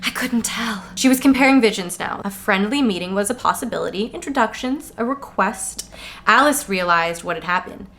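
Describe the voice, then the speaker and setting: Bright-voiced woman recapping a book. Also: medium pitch, animated, brisk, a little hiss or hum. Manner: playful, mimics characters in mock-dramatic voices. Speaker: podcast co-host. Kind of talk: casual book recap with dramatic read-aloud.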